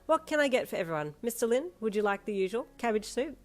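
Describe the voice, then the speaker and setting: A woman's voice: fairly high pitch, sing-song, fast, voice pushed up. A woman voicing a friendly, slightly hesitant waitress in a narrated story. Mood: polite.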